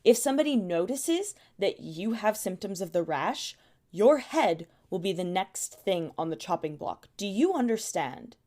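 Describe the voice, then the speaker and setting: Stern, businesslike castle superior. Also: female voice, mid-range voice, very animated, mellow. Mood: grave warning. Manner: firm, businesslike, deliberate. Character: stern female royal household superior giving orders. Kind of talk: audio drama dialogue. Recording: music behind the voice.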